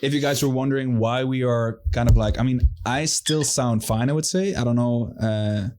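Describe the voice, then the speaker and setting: A man's voice: mid-range voice, steady tone, normal speed, a little mellow. A man co-hosting a casual podcast chat, rambling with many fillers and repeated agreements. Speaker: podcast co-host.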